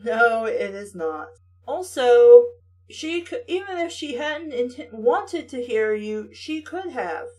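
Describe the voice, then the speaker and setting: High-pitched, sardonic woman reading and commenting. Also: sing-song, slow pace, darkish tone, far from the mic. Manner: sweary, exasperated, sardonic. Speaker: reader and commentator. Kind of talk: story reading with sarcastic casual commentary.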